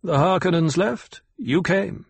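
A man's voice: high-pitched, unhurried, gravelly, slightly rough, no echo, pitched above the performer's own voice, heavily acted. A man voicing a proud, guarded planetologist in an audiobook, speaking in a stiff, curt, formal and emphatic way. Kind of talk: audiobook character acting.

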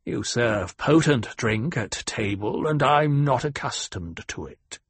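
An oily banker, as a man voices him, polite on the surface with venom underneath. The tone is husky and close to the narrator's own. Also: medium pitch, expressive, takes it slowly, no echo. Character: uneasy male banker, falsely apologetic.

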